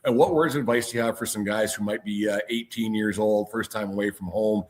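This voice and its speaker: A man with a fairly low voice hosts a casual interview at a very brisk pace. His questions ramble in a jokey, conversational style. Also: fairly even intonation. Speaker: podcast host interviewing an athlete.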